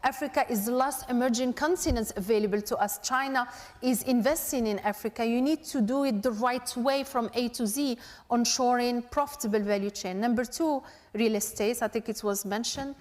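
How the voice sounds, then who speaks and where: A woman from Morocco with a fairly high voice speaks on a panel, laying out points emphatically one by one. Her voice carries the long echo of a conference hall. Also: normal speed. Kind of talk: unscripted panel discussion.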